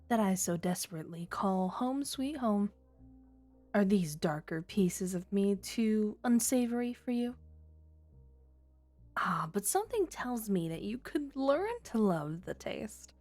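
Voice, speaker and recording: A woman's voice: mid-range voice, a little mellow, lightly acted. A woman playing a flirty, teasing female criminal who toys with a detective in a coy, sultry ASMR roleplay. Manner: coy, sultry, playful innuendo.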